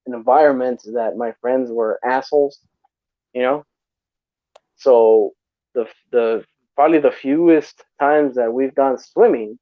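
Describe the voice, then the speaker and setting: A man's voice: medium pitch, no room sound. Chill guy hosting a live stream, reads comments, lots of laughs, kinda muffled dry call audio. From desert region. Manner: laid-back, chuckles often, drawn-out filler. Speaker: livestream host. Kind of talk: casual chat reading viewer comments. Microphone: remote video call mic.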